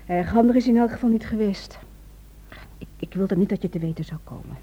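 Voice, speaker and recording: A woman's voice: medium pitch, brisk, warm tone, breathy edge, muffled recording, natural voice. An actress playing an anxious, insistent, loving wife in a radio play, pressing her case with slight hesitations. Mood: anxious, defensive.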